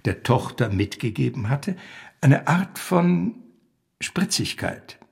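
Man, literary story reading, gravelly fry, husky grain, narrator. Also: mid-range voice, big pitch swings, takes it slowly. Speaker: reader.

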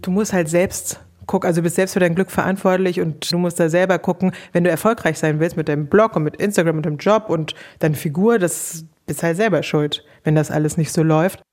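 A woman's voice: fairly low pitch, fairly even intonation, brisk, hardly any pauses, darkish tone, faint music behind. A woman telling her own story as an interviewee, in casual, conversational speech. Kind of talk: casual personal recollection.

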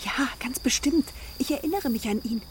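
A woman plays a middle-aged wife in a radio play, wary and easily exasperated. Her fairly high voice presses with sceptical, reproachful questions, over faint outdoor noise. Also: normal speed, close to an everyday voice. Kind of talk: naturalistic radio drama dialogue.

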